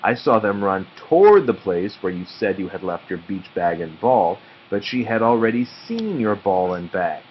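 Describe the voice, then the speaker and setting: Man reading as an earnest boy detective, animated storybook voice. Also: fairly low pitch, normal speed, few pauses, highs cut off, slight background noise. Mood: earnest, assured. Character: boy detective narrator, earnest and deliberate, deadpan. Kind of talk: children's storybook read-aloud.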